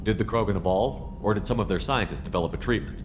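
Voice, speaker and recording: A firm military commander in a video game, voiced by a man, deep and male. He speaks quickly and directly, in a probing way, over a clear ambient hum. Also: lightly acted. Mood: inquiring. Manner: direct, questioning. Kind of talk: video game dialogue acting.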